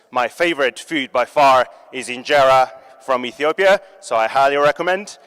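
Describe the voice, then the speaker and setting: Japanese-born man, upbeat startup pitch, smooth clear voice, echoing hall. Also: fairly bright tone. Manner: upbeat, joking with the audience. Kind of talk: competition pitch presentation. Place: large hall. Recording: long hall reverb.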